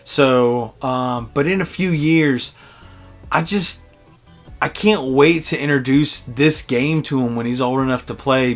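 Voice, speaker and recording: A man in his early forties giving a casual, conversational video game review in a halting, reflective way. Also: medium pitch. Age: early 40s.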